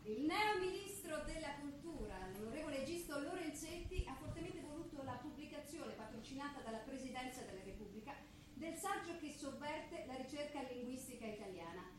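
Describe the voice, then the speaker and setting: A high-voiced female TV presenter, played by a stage actress, announces with emphatic, declamatory flourish. It is gushing theatrical parody, in a very echoey theatre with audience noise around her. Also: lively intonation, brisk, a crowd in the background. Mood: grandly announcing. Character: gushing female TV talk show host. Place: theatre stage, live performance.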